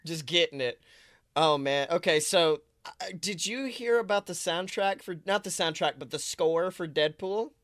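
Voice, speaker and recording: Bright-voiced, excitable man hosting a podcast. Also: on the high side, moderate pace, no room sound, studio quality. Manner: excitable, jokey, theatrical emphasis. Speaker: podcast host. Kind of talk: casual chatty pop-culture banter.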